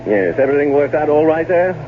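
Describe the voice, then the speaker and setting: A man's voice: a little high, expressive, fast talker, noisy background, close to an everyday voice. A man acting as a polite, chatty ship's officer in a 1970s radio drama. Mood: polite, friendly. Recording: tinny 1970s radio recording, crowd behind.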